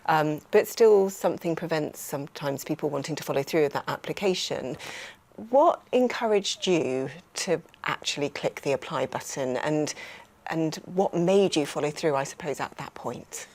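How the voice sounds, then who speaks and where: A woman's voice: low-pitched, expressive, moderate pace, darkish tone. A woman hosting a conversational podcast interview, putting questions in a wordy, roundabout way. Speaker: host and recruiter.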